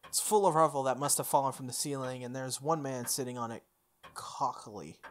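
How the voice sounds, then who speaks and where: A young adult streamer reading a visual novel aloud, with expressive delivery and casual, playful asides. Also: mid-range voice, fairly quick, slight background noise. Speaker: game streamer. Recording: music playing under the voice. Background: background music.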